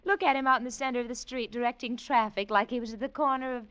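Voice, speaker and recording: A woman's voice: a little high, steady tone, fast talker, a bit bright, clear, resonant tone, lo-fi sound, lightly acted. An actress playing a fussy, coquettish American lady tourist, gushing and disdainful, in 1940s radio drama style.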